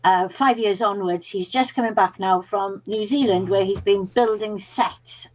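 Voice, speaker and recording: A woman's voice: mid-range voice, fairly even intonation, a little slow, crowd noise, low-quality recording. Welsh-speaking woman, coach; chatty storyteller, mid-range voice. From Wales. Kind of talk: interview guest.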